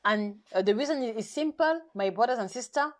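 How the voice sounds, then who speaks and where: A woman whose first language is French, hosting a live nutrition session in careful, halting English full of restarts, coaxing her viewers directly. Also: on the high side, lively intonation. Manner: halting, self-correcting English with many restarts and fillers. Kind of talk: live stream chat to viewers.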